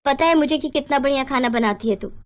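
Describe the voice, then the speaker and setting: Woman playing a bossy, high-voiced elder sister-in-law. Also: fast, lightly acted. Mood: scolding. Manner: stern, snappish.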